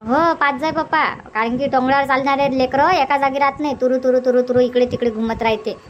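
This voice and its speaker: A woman's voice: sits high, steady tone, quick pace, highs cut off, a little hiss or hum, close to an everyday voice. A woman voicing a practical village grandmother in a cartoon, coaxing and advising in a rustic Varhadi Marathi accent. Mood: chatty. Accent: Varhadi Marathi, Vidarbha. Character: village grandmother, practical advice-giving mother. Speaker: cartoon character voice. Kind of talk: homely cartoon comedy dialogue.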